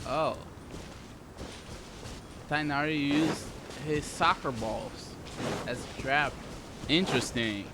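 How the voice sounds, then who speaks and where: A player giving casual gameplay commentary, reading and reacting aloud in halting short bursts. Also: medium pitch, noisy background. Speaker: gaming video creator playing a story quest. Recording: loud game music behind voice. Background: loud game music.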